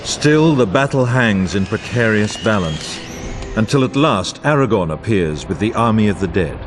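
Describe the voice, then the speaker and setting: Actor as a low, solemn epic war chronicler. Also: male voice, lively intonation, moderate pace, background noise, close to an everyday voice. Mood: grave, stirring. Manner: grandiose, portentous, formal delivery. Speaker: narrator. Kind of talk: scripted dramatic fantasy game narration. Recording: orchestral music bed under voice. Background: music.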